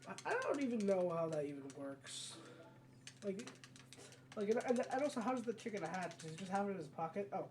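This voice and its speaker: Mellow, rambling game commentator. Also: medium pitch, expressive, a little fast. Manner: rambling, self-correcting. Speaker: video game let's player. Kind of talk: casual let's play commentary. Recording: mic at a distance, background noise.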